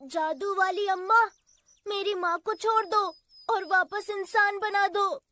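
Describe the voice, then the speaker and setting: A woman's voice: extremely high pitch, fairly even intonation, slow, bright, forceful tone, dry recording, voice pushed up, full character voice. A woman voicing a brave, kind little girl with an earnest, sweet manner in a children's cartoon story. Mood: pleading, determined.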